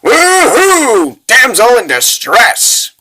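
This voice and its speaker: A man's voice: very high-pitched, huge pitch swings, extremely slow, sharp tone, voice pushed up, full character voice. A man voicing a cocky, flippant soldier in a comic dub, with a lazy, leering, flirtatious drawl. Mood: gleeful.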